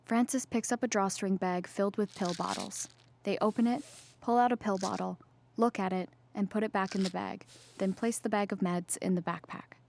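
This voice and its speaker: Measured woman reading stage directions. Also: mid-range voice, steady tone, vocal fry, a little mellow, a little hiss or hum. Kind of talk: scripted narration, present-tense stage directions.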